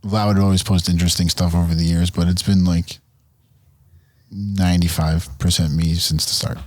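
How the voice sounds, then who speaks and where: A man talking as a guest on a casual podcast, relaxed and self-deprecating, often restarting his words. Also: sits low, normal speed, dry recording. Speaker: podcast guest, brand founder. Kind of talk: casual interview chat.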